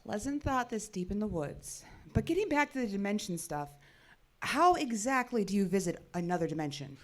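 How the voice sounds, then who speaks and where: An actress playing a perceptive, plain-spoken tower technician with a sardonic manner in an audio drama. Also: female voice, medium pitch, big pitch swings, moderate pace, lightly acted. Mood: skeptical, wry. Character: wry, perceptive, down-to-earth woman cell tower technician.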